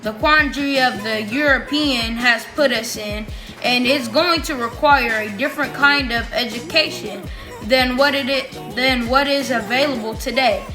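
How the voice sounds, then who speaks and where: A woman reading a speech aloud in a deliberate, halting way. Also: sits high. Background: music.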